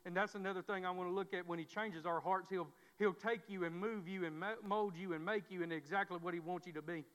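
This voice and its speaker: A man's voice: high-pitched, steady tone, fast, fairly bright tone. A man preaching a sermon, with frequent repeated words and restarts from a stammer. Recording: dull sound, long church reverb.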